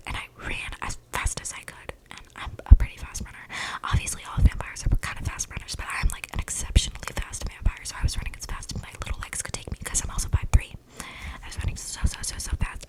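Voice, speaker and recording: A breathy whisper carries this eccentric young female vampire, played by a woman in an ASMR roleplay. She rambles like a chatty loner, slangy and flippant, with deadpan asides. The microphone is close enough to catch her breaths. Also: fast, natural voice, poor audio. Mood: chatty, confiding. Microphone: close microphone picking up breaths.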